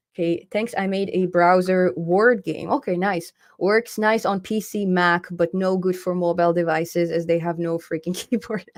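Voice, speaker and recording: A woman's voice: on the low side, no echo, clean studio sound. A young woman in her twenties hosting a live stream, chatting casually and upbeat as she reads out viewer comments, laughing often.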